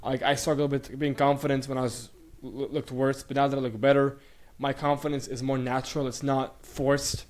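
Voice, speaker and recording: A man's voice: medium pitch, level tone, moderate pace, a little mellow. A young man from Bulgaria who grew up in Sweden, talking as a guest in a casual interview, rambling with many fillers and restarts. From Bulgaria, raised in Sweden.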